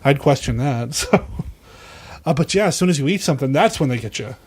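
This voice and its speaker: A man's voice: mid-range voice, expressive, a little fast, breathy edge. A man hosting a podcast, chatting informally and jokingly about films, often addressing his guest as "man.". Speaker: podcast host. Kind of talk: casual film chat. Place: home theater.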